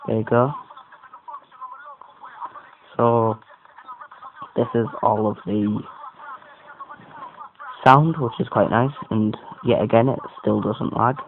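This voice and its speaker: A man with a croaky voice giving a casual, rambling, off-the-cuff product review as an amateur. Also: fairly even intonation, slow pace, pauses often. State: croaky voice today. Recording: muffled phone-like sound, faint rumbling noise.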